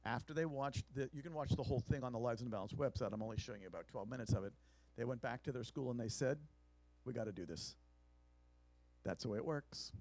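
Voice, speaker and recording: A man's voice: medium pitch, fast talker, a little mellow, dry recording, poor audio. A middle-aged male clinical psychologist giving a conversational workshop lecture into a handheld mic in a conference room, now and then asking the audience questions.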